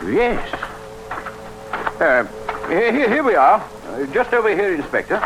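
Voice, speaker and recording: Fussy, high-pitched country gentleman. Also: sing-song, measured pace, music in the background, poor audio. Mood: polite unease. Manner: hesitant, polite, fussy. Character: fussy, affable English country gentleman host. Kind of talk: old-time radio drama acting. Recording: vintage radio recording, faint noise.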